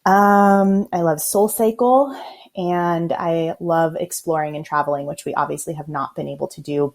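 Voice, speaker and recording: An American woman from Los Angeles speaks in a notably low register. She talks fast and intensely, with a sarcastic streak, chatting casually as a guest on a remote podcast call. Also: vocal fry, darkish tone. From Los Angeles, USA. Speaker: podcast guest, website designer. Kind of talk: casual interview chat.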